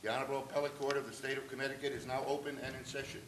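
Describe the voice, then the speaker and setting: A man's voice: mid-range voice, steady tone, racing, a bit bright. Man, court crier, formal proclamation, echoing room, noticeable background noise. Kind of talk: ceremonial court announcement. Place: large echoey courtroom. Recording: echoey room, distant mic, clear noise.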